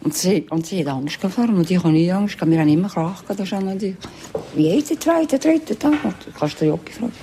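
Female, unscripted recollection, rapid, emphatic, colloquial, dark tone, slightly noisy clicky recording. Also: on the low side, lively intonation. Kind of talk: unscripted, animated reminiscing.

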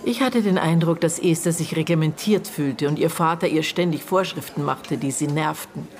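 Low-voiced, guarded, bitter aunt. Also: female voice, a little mellow. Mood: reflective, guarded. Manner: terse, halting, broken phrases with thoughtful pauses. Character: murdered girl's aunt, bitter, guarded, searching her memory. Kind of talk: naturalistic German radio drama acting.

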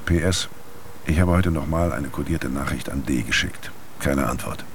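An actor playing a sober male agent, dictating a formal, measured report in a radio drama. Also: rumbling low, gravelly, slight background noise. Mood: grave, uneasy.

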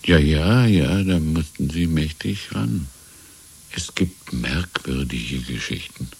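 An actor playing a wistful, deferential old shopkeeper who talks in a halting, musing way, in a 1970s radio drama. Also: male voice, rumbling low, lively intonation, gravelly, a little mellow, slightly rough, full character voice. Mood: solicitous. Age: older. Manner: halting, musing, repeating himself. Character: wistful, deferential old shopkeeper reminiscing about lost freedom.

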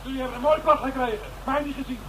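An actor playing a cornered, defiant young criminal, jeering and agitated in a radio crime drama. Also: male voice, extremely high pitch, fairly even intonation, racing, fairly bright tone. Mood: wary, hostile. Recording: noisy old radio drama recording.

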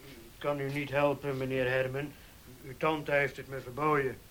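An actor playing a humble, easily cowed older horse handler in a Dutch radio play, hesitant and deferential. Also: male voice, mid-range voice, little pitch movement, moderate pace, fairly bright tone, close to an everyday voice, poor audio. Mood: apologetic.

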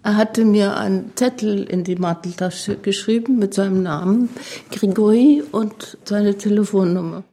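A woman's voice: medium pitch, normal speed, dark tone. An older woman recalling events she witnessed, in the style of a personal interview. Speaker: interviewed eyewitness. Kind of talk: personal recollection.

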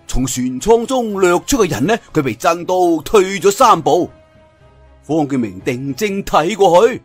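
A man's voice: a little high, breathy edge. A man with a Cantonese accent telling a martial arts story in a dramatic traditional style, with conversational asides.

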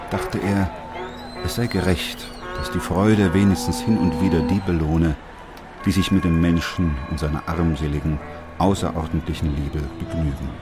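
An actor plays a sober, compassionate doctor narrating a radio play. His low voice is measured and grave, delivering literary narration over clear music. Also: close to an everyday voice.

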